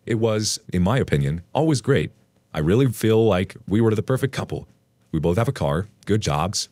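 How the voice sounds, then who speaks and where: A man reading an online post aloud as a storytelling narrator, in an expressive read. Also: low-pitched, dry recording.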